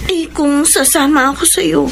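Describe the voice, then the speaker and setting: A woman's voice: sits high, steady tone, normal speed, creaky, noisy background. An actress playing a meek, downtrodden young working woman in a Filipino radio drama, apologetic, hesitant and pleading. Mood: hesitant, resigned.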